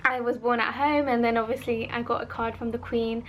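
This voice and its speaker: A woman's voice: a little high, little pitch movement, fairly quick, fairly bright tone, slight background noise, poor audio. A woman, chatting in an informal, rambling way as a vlogger talking about herself. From born in Holland, of Bangladeshi family. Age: 20s. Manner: informal, rambling, self-interrupting. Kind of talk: casual chatty personal vlog.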